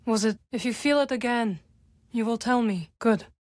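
An actress as a young witch from a video game, guarded and solemn with a dry wit. Her voice sits fairly high and is recorded close and dry. She phrases things formally and with measured care. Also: darkish tone, no echo, close to an everyday voice. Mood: somber, gentle. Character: young adult witch, solemn, guarded, dryly wry rebel. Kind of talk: video game character dialogue.

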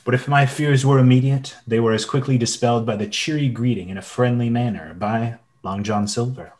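A man's voice: medium pitch, fairly even intonation, fairly quick, a little mellow, natural voice. A man playing a narrator who recalls his boyhood sea adventure in a radio play. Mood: reflective, storytelling. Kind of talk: scripted narration.

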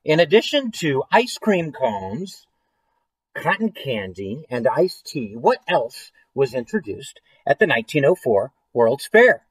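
An American man in his forties with a medium-pitched voice hosts a children's story time. He is chatty and playful, addressing listeners directly. The sound is dry, with little room echo. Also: big pitch swings, a little slow, slightly rough. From St. Louis area, United States. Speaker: children's author and illustrator reading his book. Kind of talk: children's story time read-aloud with trivia quiz. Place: small room at home, indoors.

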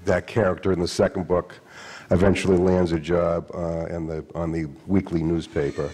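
Deep, mellow older man telling stories hesitantly. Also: little pitch movement, breathy edge. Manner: halting, storytelling, lots of fillers and restarts trailing soft. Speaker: author. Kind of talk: onstage conversation. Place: stage of a hall with an audience. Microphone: handheld mic. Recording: long hall reverb.

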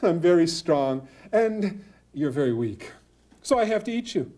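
A man's voice: on the high side, big pitch swings, unhurried, dark tone. A man playing a smug, hungry big leopard. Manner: matter-of-fact, self-assured.

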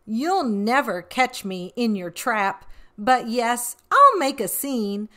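A woman's voice: on the high side, very animated, slow, bright, forceful tone, heavily acted. A woman voicing a cheeky, boastful leprechaun in a rhyming picture-book read-aloud, drawing out key words playfully. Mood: cocky taunting.

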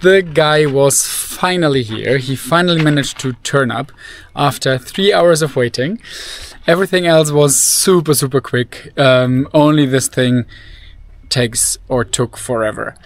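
A young man, a native German speaker, talking casually to a camera held at arm's length as he travels, calm and matter-of-fact. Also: medium pitch, a little slow, dry recording. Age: young adult. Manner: relaxed, matter-of-fact, chatty. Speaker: travel vlogger. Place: inside a vehicle and outdoors at a border. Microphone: handheld camera at arm's length.

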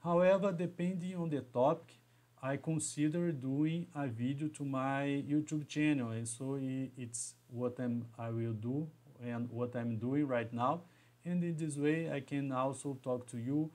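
A man in his thirties with a Brazilian Portuguese accent, hosting a live stream from a small home room into a webcam and talking haltingly, restarting and repeating words as he goes. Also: medium pitch, fairly even intonation, darkish tone. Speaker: engineering educator hosting a live session. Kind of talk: live stream talk to viewers.

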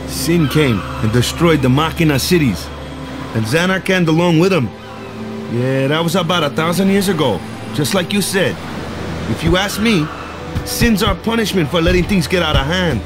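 A man's voice: on the high side, lightly acted. An actor playing an easygoing young male island ball coach in a video game, with colloquial, tag-question phrasing. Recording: music behind the voice.

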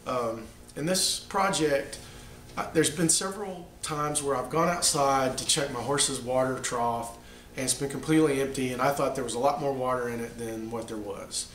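A middle-aged man, a little hoarse from the flu, explaining a DIY build in his home workshop, plain and halting with many ums. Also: mid-range voice, fairly bright tone, echoey room, slight background noise. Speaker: DIY maker. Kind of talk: casual how-to explanation.

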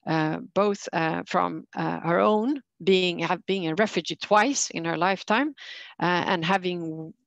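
A woman moderating an online panel and introducing a speaker in a halting way with frequent pauses. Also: on the low side, vocal fry, no echo, muffled recording. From Sweden. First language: Swedish.

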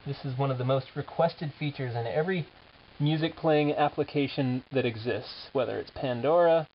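A man's voice: mid-range voice, normal speed, a little hiss or hum. Man in casual demo, dull lo-fi sound. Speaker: app developer presenting a demo. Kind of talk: informal software demo narration. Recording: dull lo-fi camera audio, music behind.

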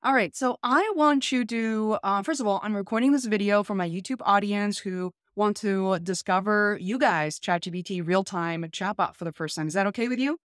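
A woman's voice: mid-range voice, normal speed, hardly any pauses, dry recording. Female video host, 30s to 40s, conversational demo delivery, dry home recording. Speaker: YouTube host. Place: small home room, indoors.

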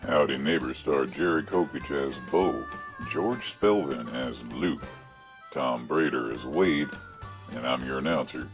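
A man's voice: rumbling low, a little slow, music in the background. A man playing a folksy, mock-grand announcer of an old-time radio comedy show. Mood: signing off.

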